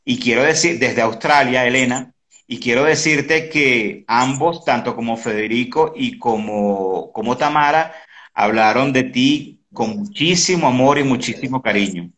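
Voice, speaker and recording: A man in his early fifties hosting a casual interview on a video call, speaking haltingly and often repeating words. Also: medium pitch, fairly bright tone. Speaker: interview host. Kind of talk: casual conversational online interview. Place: indoor room at home. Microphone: video call, device close in front.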